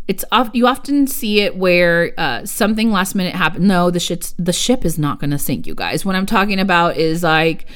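A woman's voice: lowish voice, expressive, moderate pace, hardly any pauses, fairly bright tone. A woman hosting her own travel podcast, talking casually and directly to listeners, often saying "you guys" and drifting into side stories. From United States. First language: Spanish. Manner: chatty, addresses listeners as "you guys", digresses. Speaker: podcast host and travel agent. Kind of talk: casual solo podcast talk.

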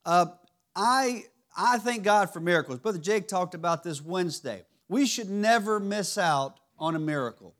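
A man with a raspy voice giving a church teaching sermon, halting and restarting often. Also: sits high, animated, a bit bright. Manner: halting, many restarts and fillers. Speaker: lay preacher. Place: church sanctuary.

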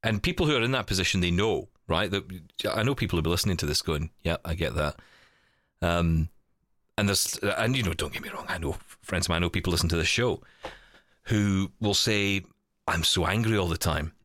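A man's voice: low-pitched, a little fast, a bit bright, dry recording, clean studio sound. A man co-hosting a podcast in casual, reflective conversation, choosing words carefully and often correcting himself. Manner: hedging, careful, self-correcting. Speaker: podcast co-host.

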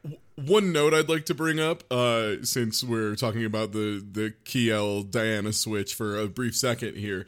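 A man's voice: on the low side, measured pace, clear, resonant tone. A man co-hosting a podcast in a casual chat, a little sick and nasal, rambling and laughing often. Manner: rambling and giggly. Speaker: podcast co-host.

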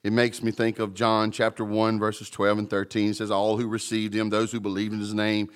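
A man's voice: on the low side, completely flat delivery, brisk, smooth. A man preaching a sermon with emphasis, calling out to the congregation and joking about his own mumbling. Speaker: pastor.

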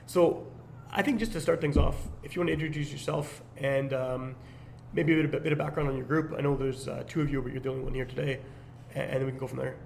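A man's voice: mid-range voice, quick pace, creaky, a little hiss or hum. A man hosting a local music podcast, talking casually with many fillers while losing his voice. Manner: relaxed, rambling with frequent fillers. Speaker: podcast host. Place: basement.

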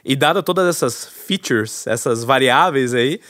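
A Brazilian man in his thirties, a guest entrepreneur telling his story in a relaxed, chatty way, with lots of fillers and restarts. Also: mid-range voice, a little slow, no room sound, clean studio sound. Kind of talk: casual storytelling interview.

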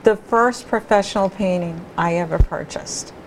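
Lower-voiced woman over crowd noise. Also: normal speed, low-quality recording. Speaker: gallery owner. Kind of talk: casual remarks.

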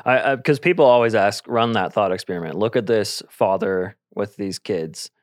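A man co-hosting a podcast, relaxed and quick to laugh in casual conversation. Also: on the low side, no echo, clean studio sound. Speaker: podcast co-host.

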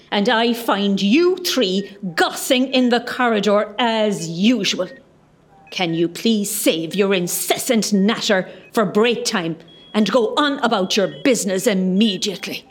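An actress playing a brisk, sarcastic, scolding ward boss in a comedy radio play. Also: female voice, mid-range voice, close to an everyday voice. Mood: stern, scolding. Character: stern, sarcastic female hospital ward boss.

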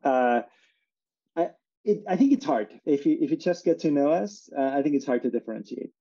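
A man from Austria talks as a guest, explaining his company in a relaxed way with many fillers. His voice is deeply mellow and dark in tone. The recording sounds muffled and lo-fi. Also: a little fast. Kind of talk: casual interview talk.

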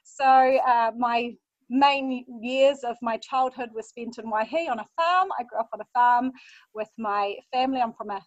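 New Zealand woman in her thirties, podcast guest, a bit high-voiced, dull lo-fi line. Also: normal speed, no echo. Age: 30s. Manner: warm, chatty storytelling with fillers. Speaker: guest, mindfulness coach. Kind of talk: podcast interview. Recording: dull, lo-fi sound.

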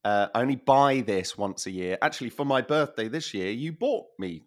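Animated man, voice wearing thin. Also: mid-range voice, moderate pace. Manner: animated, laughs often, repeats himself. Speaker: podcast co-host. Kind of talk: casual chat.

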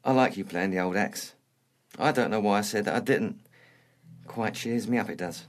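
A man acting as a bitter, washed-up ex-punk from a dark comedy. He has a London accent and a fairly low voice, and talks in blunt, slangy grumbles, always ready to bristle. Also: moderate pace, lightly acted. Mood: apologetic, subdued. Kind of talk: naturalistic dark comedy dialogue.

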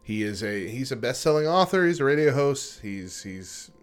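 A man's voice: medium pitch, expressive, normal speed, clear, resonant tone. A man co-hosting a radio show, reading light news and chatting casually, with frequent fillers and restarts.

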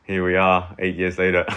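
A man's voice: low voice, clean tone. A man in his thirties from Hong Kong, a guest chatting casually in a loose, rambling way full of fillers. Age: 30s. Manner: relaxed, rambling, many fillers like "like" and "you know". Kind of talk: casual chat.

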